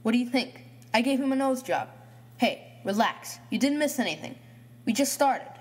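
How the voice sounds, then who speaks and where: A man's voice: very high-pitched, animated, normal speed, pitched above the performer's own voice. A man voicing a cruel, cocky schoolboy bully who taunts and mocks in an audio horror story. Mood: smug taunting. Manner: taunting, mocking.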